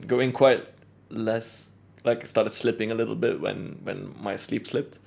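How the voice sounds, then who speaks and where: A young man from Belgium sits as a podcast guest. His voice sits low and turns gravelly, and he speaks in a relaxed, reflective way, laughing now and then between his points. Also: slow. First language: Dutch. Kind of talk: conversational interview.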